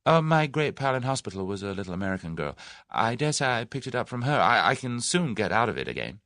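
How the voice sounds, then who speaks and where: A man reading the part of a spirited, wary young woman in an audiobook, brisk and wry, raising his voice for her. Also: mid-range voice, sing-song, fairly bright tone, no echo, clean studio sound, voice pushed up. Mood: wary, pert. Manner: brisk, wry, lightly raised voice.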